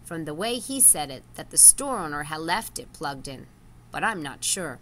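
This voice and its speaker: A woman's voice: deep voice, big pitch swings, moderate pace, a bit bright, a little hiss or hum. A woman voicing a small, modest female animal in a storybook, speaking carefully and a little hesitantly. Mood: earnest, unsure. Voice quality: small-sounding.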